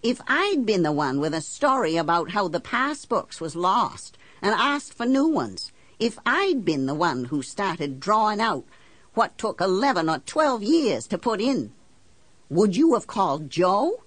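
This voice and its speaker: A woman acts out an island housewife with no polish and plenty of grievance, in an audiobook monologue. Her voice sits a little low and swings widely as she talks. The talk is folksy and indignant, full of country grammar, in a close, dry recording. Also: very animated, normal speed, no echo. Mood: bitter, indignant. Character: blunt, embittered working-class island housewife telling her story. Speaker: audiobook narrator. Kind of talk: first-person storytelling in character.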